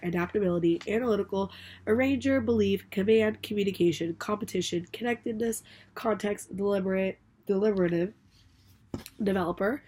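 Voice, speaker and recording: A woman's voice: mid-range voice, darkish tone, husky. Young female student, congested and scratchy from a cold, casual class presentation with fillers. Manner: reads a list, then explains casually with fillers and restarts.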